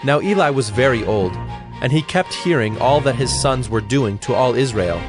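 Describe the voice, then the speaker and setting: Medium-pitched male scripture narrator. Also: expressive, normal speed. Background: background music.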